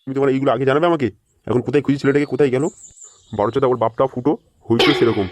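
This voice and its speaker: Casting: male actor as excitable, cursing cartoon grandfather, very fast delivery, noisy recording. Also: medium pitch, natural voice. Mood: annoyed, worried. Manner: scolding, blunt, excitable. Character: fretful, foul-mouthed grandfather hunting his missing grandson. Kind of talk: amateur comedy cartoon voice acting. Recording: noisy home-made cartoon dub.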